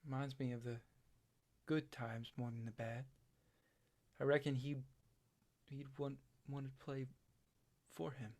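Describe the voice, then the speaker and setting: A man's voice: medium pitch, flat delivery, a little slow, long pauses, darkish tone, lightly acted. An actor playing a troubled, literal-minded rural young man in a stage play reading, stammering and repeating his words. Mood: subdued, haunted.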